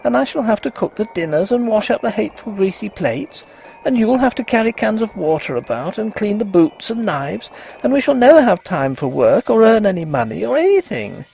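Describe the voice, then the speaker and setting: A man's voice: sits high, big pitch swings, fairly quick, vocal fry, darkish tone, slight background noise, voice pushed up, full character voice, poor audio. A man voicing a distressed, tearful young wife who complains earnestly in storybook dialogue. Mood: fretful, earnest. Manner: emphatic, complaining. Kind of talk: acted audiobook dialogue.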